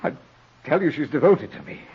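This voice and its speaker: Husky, frightened, stammering weakling. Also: male voice, a little high, lively intonation, heavily acted. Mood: pleading, tender. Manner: halting, anguished, stammering. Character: weak, frightened, overwrought ne'er-do-well younger man. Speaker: radio actor. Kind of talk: 1940s radio drama acting. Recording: muffled vintage 1940s radio recording.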